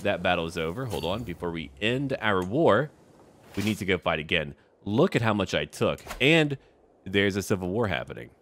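Male gaming host, low register, very varied intonation, offhand delivery, talks to the on-screen characters. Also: a bit bright, slight background noise. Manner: talks aloud to game characters. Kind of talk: casual gameplay commentary.

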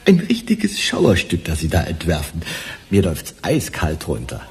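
A man's voice: low-pitched, wildly swooping pitch, normal speed, a little mellow, breathy edge, full character voice. An actor playing an agitated, mocking male murder suspect in a German radio crime drama. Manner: sarcastic, laughing derisively.

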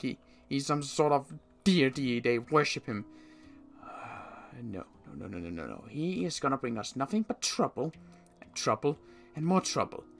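A man's voice: medium pitch, gravelly, fairly bright tone, heavily acted. A man playing a suspicious, agitated apocalypse survivor in improvised game roleplay, muttering to himself with stammers and repeated words. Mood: agitated, indignant, then brooding, suspicious.

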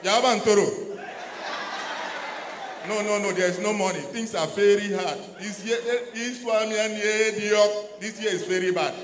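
A man speaking slowly and plaintively about hard times. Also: high voice, fairly even intonation, echoey room, in a large hall. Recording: distant voice in a noisy crowd.

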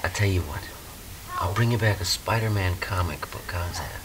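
A gentle young father in an audio drama. His voice is very deep and soft, reassuring and resolute, with clear background noise. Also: normal speed. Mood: tender, reassuring. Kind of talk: naturalistic audio drama acting. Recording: noisy ambience behind.

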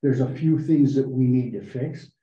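A man with a very dark, mellow voice gives a halting report at a town board meeting, stopping and restarting often. The sound is roomy and a little dull, picked up by a mic in a meeting room. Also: mid-range voice. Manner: halting, many fillers and restarts. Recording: echoey, muffled meeting room recording.

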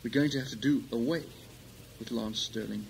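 A man's voice: mid-range voice, moderate pace, gravelly, loud music behind the voice, close to an everyday voice, low-quality recording. A man playing a scheming, bossy villain in a radio-play parody, with hammy theatrical delivery and wordy diction. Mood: sly, conspiratorial. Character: scheming melodramatic villain, bossy brother and plotter.

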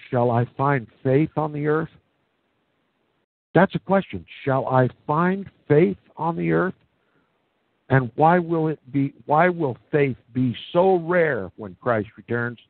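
A man makes his points with emphatic, preacher-like conviction as a broadcast guest. His voice is husky. The sound is dry and thin, like a phone line. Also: mid-range voice, no room sound. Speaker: guest speaker. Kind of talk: religious discussion broadcast.

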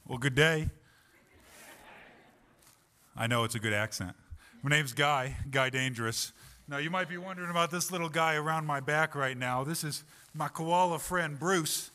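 A man's voice: normal speed, pauses often, bright, forceful tone. A man doing a hearty Aussie adventurer. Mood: upbeat. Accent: put-on Australian. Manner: hearty, upbeat, pitching to kids. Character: swaggering male Australian adventurer with pet koala. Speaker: Vacation Bible School promoter. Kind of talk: costumed church announcement.

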